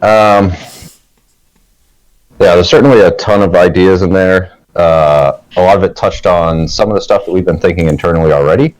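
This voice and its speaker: A man with an extremely low, deep voice answering panel questions as a game designer. He speaks in a relaxed, thoughtful way, pausing with fillers as he works through his points. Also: rough recording. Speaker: lead systems designer.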